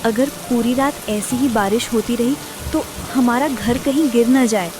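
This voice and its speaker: A woman's voice: fairly high pitch, measured pace, very noisy, lightly acted. A woman voicing a worried, pleading poor village wife in a cartoon story. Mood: frightened, anxious. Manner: pleading, anxious.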